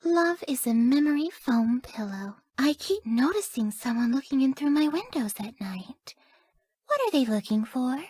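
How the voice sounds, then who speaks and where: A dreamy, easily confused young woman from a video game. Her voice is high and soft, drifting slowly along with wide-eyed wonder and airy, gentle phrasing. The melody swings widely. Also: no room sound, full character voice. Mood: dreamy, affectionate. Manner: soft, airy, gentle, often dropping to breathy near-whispers. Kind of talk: video game character voice lines.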